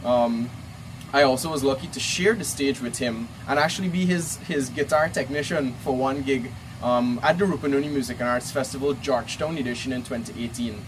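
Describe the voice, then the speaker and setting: A man with a Guyanese accent, a rock musician, fondly reminiscing in an interview with frequent pauses and restarts. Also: mid-range voice, background noise. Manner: warm reminiscing with fillers and restarts.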